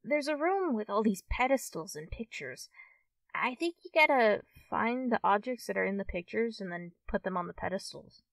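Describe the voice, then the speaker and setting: A woman playing a friendly, shy, easily excited young fox-tailed girl in an ASMR roleplay, speaking hesitantly to the listener as a friend. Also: medium pitch, vocal fry, no room sound, recorded from a distance. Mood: casual, hesitant. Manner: hesitant, halting, giggly, addressing the listener as a friend. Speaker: roleplay performer.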